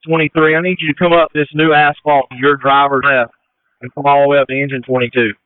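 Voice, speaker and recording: A man's voice: fairly high pitch, flat delivery, quick pace, smooth, dry recording. Man, fire commander, tinny two-way radio, dry close sound, directive, matter-of-fact. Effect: two-way radio transmission. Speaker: fire battalion chief acting as incident commander. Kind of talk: emergency radio traffic.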